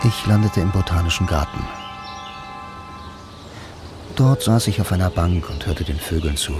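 An actor playing a blind, brooding film-noir loner who narrates a radio drama in terse, dreamy lines. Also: male voice, low-pitched, fairly quick, lightly acted. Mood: pensive. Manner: terse, lyrical sentences. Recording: music under the voice. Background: music.

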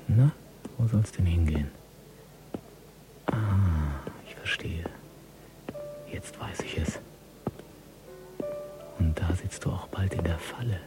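An actor playing a sinister, mocking stalker who mutters breathily to himself in a radio drama. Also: male voice, deep voice, heavy background noise. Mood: menacing. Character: sinister male stalker, mocking, watching his quarry. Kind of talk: radio drama inner monologue.

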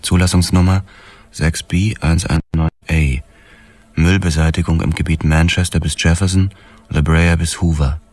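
An actor playing a haunted, hard-boiled police detective, narrating a noir radio drama in measured, clipped phrases. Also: male voice, deep voice, fairly even intonation, a little mellow, faint music behind. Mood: tense, methodical. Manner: measured, clipped, grim storytelling. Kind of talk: scripted first-person noir crime narration, radio drama.

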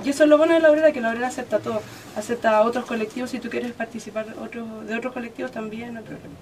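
Fast-talking Chilean woman guest, off-mic. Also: on the high side, steady tone, recorded from a distance, noisy background. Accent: Chilean Spanish. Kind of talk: casual interview chat.

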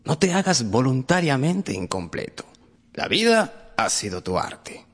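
An actor playing a cynical, languid aristocrat in a radio drama, with theatrical, teasing, drawn-out phrasing. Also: male voice, medium pitch, sing-song, a bit bright. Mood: cynical, persuasive. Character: languid, cynical aristocratic gentleman, coaxing his friend. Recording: long hall reverb. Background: faint music.

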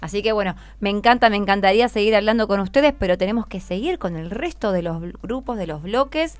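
A woman's voice: mid-range voice, fast talker, no room sound. A woman with an Argentine accent hosts radio, chatting casually and effusively as she encourages young guests. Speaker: radio host. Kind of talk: casual, encouraging interview with schoolchildren. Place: radio studio.

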